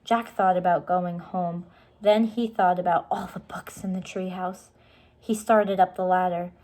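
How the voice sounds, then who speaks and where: A woman's voice: fairly low pitch, steady tone, measured pace, dark tone. A woman reading a children's storybook aloud, in simple, explanatory style for young listeners.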